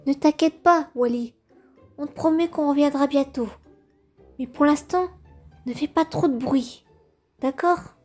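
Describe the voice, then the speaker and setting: A woman's voice: high-pitched, measured pace, pauses often, a little mellow, faint music behind. A woman voicing a polite, reassuring young schoolboy in a comic dub.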